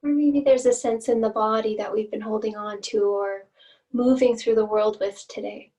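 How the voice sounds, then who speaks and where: A woman leading a guided meditation as an instructor, with gentle, inviting phrasing and long pauses, recorded at some distance from the mic. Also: mid-range voice, fairly even intonation.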